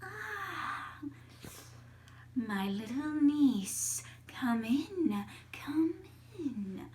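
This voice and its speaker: A woman playing a bony old witch, slow and sinister. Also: sits high, very animated, darkish tone, slight background noise, voice pushed up, heavily acted. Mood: falsely sweet. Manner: drawn-out words, coaxing then shrieking. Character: bony, gnarled old witch, falsely sweet and menacing. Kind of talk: live-style fairy tale storytelling.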